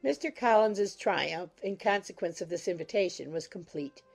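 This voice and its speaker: A woman's voice: on the low side, lively intonation, moderate pace, vocal fry, darkish tone, slightly rough, faint music behind. A woman narrating a classic novel as a scripted, literary audiobook reading.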